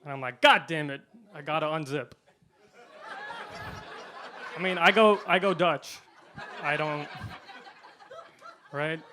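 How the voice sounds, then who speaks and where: Guy doing standup, kinda high voice, deadpan jokes, faint noise in the back. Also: slow, long pauses. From India. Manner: deadpan punchlines, shifting loud and soft. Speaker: stand-up comedian. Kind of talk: stand-up set.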